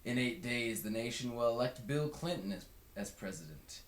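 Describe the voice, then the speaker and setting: A man's voice: mid-range voice, animated, moderate pace. A man narrating a book aloud as a scripted read-along. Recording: roomy, echoing home recording.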